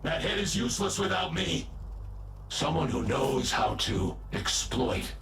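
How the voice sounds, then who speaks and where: Slow, gravelly gloating villain. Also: male voice, on the high side, sing-song, fairly bright tone, roomy sound, a little hiss or hum, full character voice. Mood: bargaining. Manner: slow, haughty, threatening. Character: gloating, menacing male villain captive, cunning and insulting. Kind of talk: dramatic video game acting.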